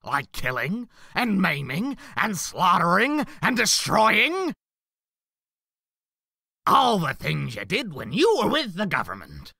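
A woman voicing a chummy, gleefully murderous demon clown in a comic dub, raspy and mock-friendly. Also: on the low side, wildly swooping pitch, takes it slowly, bright, forceful tone, no room sound, clean studio sound, exaggerated voice. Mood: sinister glee.

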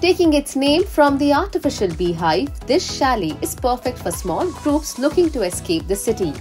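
A woman delivers polished, expressive promotional narration. Her fairly high voice rises and falls in lively swoops. Music plays lightly underneath. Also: moderate pace. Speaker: voice-over narrator. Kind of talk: scripted promotional travel narration. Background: background music.

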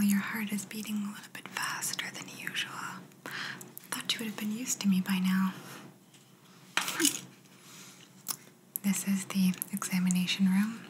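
A young woman doing a soft-spoken, whispered ASMR roleplay as a calm, playful alien examiner, close to the microphone, gentle and reassuring. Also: mid-range voice. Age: young adult.

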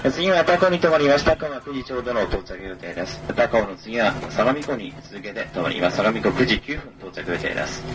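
A man working as a train conductor makes formal, polite announcements over a train's public address speaker, repeating key information. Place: inside a moving train, over its PA. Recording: noisy train PA speaker, rumbling vehicle.

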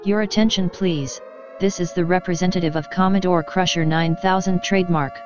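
A man's voice: high voice, fairly even intonation, brisk, lightly acted. A man voicing a radio station announcer who makes formal emergency announcements. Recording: dull radio sound with noise.

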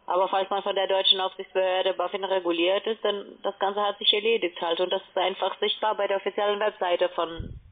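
A woman speaks over a thin, band-limited phone line at a medium pitch. She works as a call centre seller, formal and insistent, with halting, slightly awkward phrasing. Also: little pitch movement. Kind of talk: telephone sales pitch. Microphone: telephone call. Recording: narrow, tinny phone line.

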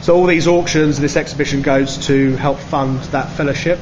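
A man's voice: fairly high pitch, steady tone, normal speed. A man who organises the charity, earnestly explaining at length from behind the camera in a pub. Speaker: charity organiser filming the artist. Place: indoor pub. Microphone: camera mic, speaking from behind the camera. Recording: dull sound with clear background noise.

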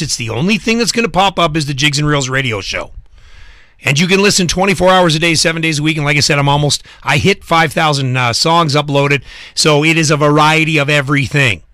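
Bright, high-voiced male radio host. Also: no echo, studio quality. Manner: emphatic, informal, punchy short phrases. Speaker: live radio music show host. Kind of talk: enthusiastic radio DJ talk.

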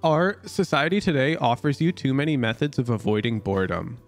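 A male streamer talks casually to his chat in a voice that swings widely in pitch and carries a gravelly edge. He goes from loose musing to sudden loud bursts. Faint music plays under him. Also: fairly quick. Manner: animated, jumps to loud exclamations. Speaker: streamer reviewing gameplay. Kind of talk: casual chat with live viewers.

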